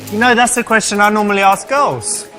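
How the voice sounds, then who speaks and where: A man's voice: sits high, steady tone, a little fast. A man playing a confident, flirty clubgoer in a live-action game, with a playful, self-assured way of talking. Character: confident, flirty, teasing man chatting someone up at a club. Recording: loud club music behind.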